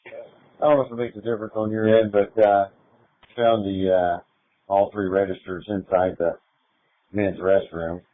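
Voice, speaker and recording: Male officer, low voice, halting casual report, phone-like radio band. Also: little pitch movement, no echo. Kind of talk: unscripted police radio report. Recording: tinny narrowband police scanner radio.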